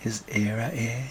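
A slightly hoarse man with an Irish accent, whispering softly with gentle chuckles in an ASMR boyfriend roleplay. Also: fairly low pitch, a little hiss or hum.

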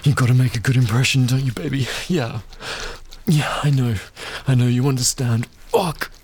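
A man's voice: fairly even intonation, moderate pace, background noise. A man performing an adult audio roleplay in a dominant, taunting tone, with heavy breaths and muttered exclamations between lines. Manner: dominant and taunting, low intimate delivery.

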